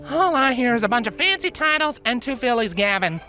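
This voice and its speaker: A woman voicing a friendly, sensible young country pony filly with a drawl and a deadpan streak for a story. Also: a little high, fairly quick, faint music behind, pitched above the performer's own voice. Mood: scolding.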